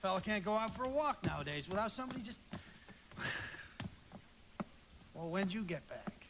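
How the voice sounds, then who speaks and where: A man's voice: high voice, expressive, moderate pace. An American man acting in a live radio play as a flustered, stubborn young man who stammers defensively about courtship. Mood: evasive. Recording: very noisy live stage radio recording.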